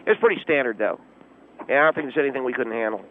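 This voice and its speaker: Guy doing a clueless officious auxiliary cop, deadpan, pompous, phoned into a comedy radio show, tinny line. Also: medium pitch, racing, slight background noise. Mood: officious, confident. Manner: pompous pseudo-tactical jargon, hesitant filler-laden delivery. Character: officious, self-important male volunteer auxiliary policeman, clueless and unflappable. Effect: telephone line. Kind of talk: deadpan comic radio call-in.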